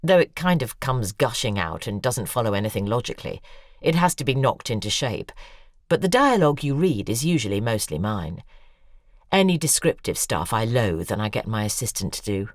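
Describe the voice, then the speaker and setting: A commanding, embittered older female author in an audio drama. Her voice is very deep for a woman and moves expressively up and down. She speaks theatrically and insistently, recorded close and dry. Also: sing-song, normal speed, dry recording. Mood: candid, sardonic. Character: bitter, imperious older woman, a famous novelist confessing.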